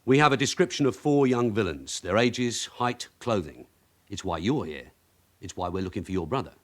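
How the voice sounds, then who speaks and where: An actor playing a shrewd, weary veteran detective sergeant in a radio drama, with a London accent and a blunt, sardonic, authoritative way of questioning. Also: male voice, fairly low pitch, lively intonation, moderate pace, close to an everyday voice. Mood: grave, pressing. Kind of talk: naturalistic radio drama acting.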